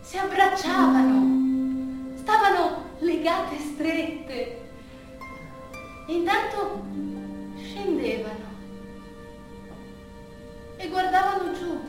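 Casting: actress for dramatic solo storytelling, very high register, broken phrasing, recorded far off in a strongly echoing space. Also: female voice, expressive, drawn-out words, pauses often. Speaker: stage actress, monologue performer. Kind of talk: dramatic theatrical monologue storytelling. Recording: very echoey, distant stage recording with music. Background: music.

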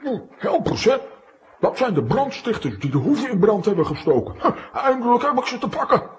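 A vain, slow-witted comic fellow with a high, lively voice. He halts and stammers through his sentences, gullible and good-hearted, in a children's radio play with slightly muffled sound. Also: slight background noise. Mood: surprised, indignant. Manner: halting, stammering, with many hesitant ehs. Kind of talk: children's audio drama acting.

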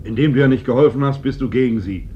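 A man's voice: mid-range voice, fast, lightly acted. An actor playing a militant man in a radio drama, rhetorical and insistent, arguing with conviction. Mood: grave, insistent. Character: militant, insistent man urging armed resistance. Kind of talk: German stage-like radio drama acting. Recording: dull, noisy old radio drama recording.

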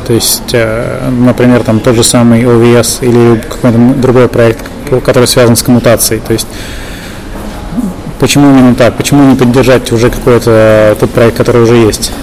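A man interviewing for a podcast, asking unscripted questions in a hesitant way, breaking his phrases with pauses. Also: level tone. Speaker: podcast interviewer, tech lead. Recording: noisy venue, loud background rumble.

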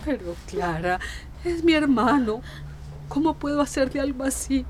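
A woman's voice: high-pitched, lively intonation, moderate pace, a little hiss or hum. An actress playing an anguished, hesitant middle-aged mother in a radio drama.

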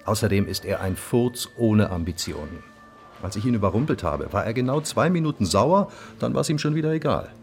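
An actor playing a dry, stubborn undercover police detective in a crime radio drama, laconic and sardonic. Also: male voice, lowish voice, fairly quick, faint music behind. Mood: dry, confident. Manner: laconic, sardonic, deadpan wording. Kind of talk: naturalistic German crime radio drama acting. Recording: faint background noise.

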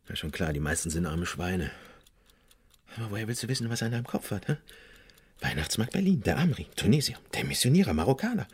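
An actor playing a defiant, cynical police detective in a naturalistic radio drama, speaking colloquially and combatively. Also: male voice, low-pitched, fairly bright tone, husky, exaggerated voice. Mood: bitter, suspicious.

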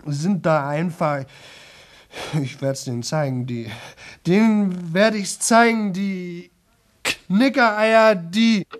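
An actor playing a young man in a radio play, exhausted and desperate, with a sore, rough throat, talking on in halting, repeated fragments. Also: high-pitched. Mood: furious, ranting. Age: young adult. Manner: halting, repetitive, broken fragments. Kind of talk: 1970s German radio play monologue.

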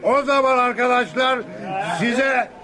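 A man's voice: extremely high pitch, unhurried. An actor playing a violent, boastful drunken husband and father in a radio play, bellowing and taunting. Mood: rowdy boasting. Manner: bellowing, taunting, dragging out words. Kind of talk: radio theatre acting. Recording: radio play with loud crowd noise behind.

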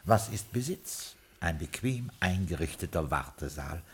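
An actor playing a snobbish, unflappable butler in a 1960s German radio drama, speaking with formal, measured, condescending politeness. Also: male voice, sits low, lively intonation, a little hiss or hum. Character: unflappable, snobbish gentleman's butler with dry wit.

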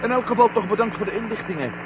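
An actor playing a brisk, confident, sardonic male astronaut commander in a science-fiction radio play. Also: high voice, natural voice. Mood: wry, brisk. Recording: noisy muffled radio drama with hum.

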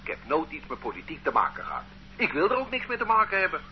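A man's voice: fairly high pitch, fast. An actor playing an easygoing older man who loiters on the street, chatty and bewildered by accusations in a radio drama. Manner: lively, chatty, homely, protesting. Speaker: suspect. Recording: dull lo-fi radio play recording, faint hiss.

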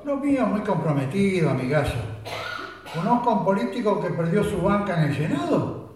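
A man's voice: high voice, fairly quick, recorded from a distance, slight background noise. A man playing a boastful gaucho sergeant. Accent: Rioplatense Spanish. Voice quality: animated, boastful storyteller. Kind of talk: amateur radio drama acting. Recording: echoey room, off-mic.

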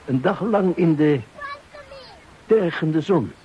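An actor playing a bitter soldier who declaims war memories in clipped poetic phrases for a radio drama. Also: male voice, on the high side, animated, takes it slowly, muffled recording, noisy background. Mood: bitter, intense.

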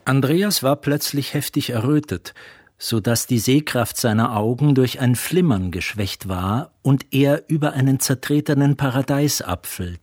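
A man narrating a literary radio drama in a measured, storytelling manner with a mid-range voice. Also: mid-range voice, a little mellow, no echo, studio quality.

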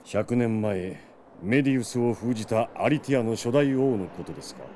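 An actor playing a loyal, solemn knight captain in a fantasy audio drama, speaking in formal, deferential courtly language. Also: male voice, fairly low pitch, animated, moderate pace, warm tone, slight background noise, natural voice. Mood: grave.

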